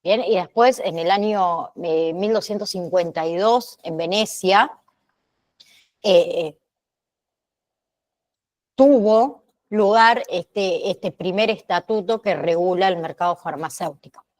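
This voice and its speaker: A woman's voice: fairly low pitch, takes it slowly, darkish tone. A woman lecturing as a course teacher, often tagging her phrases with short questioning check-ins. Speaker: teacher of an online pharmacy assistant course. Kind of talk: online lecture, explaining history to students.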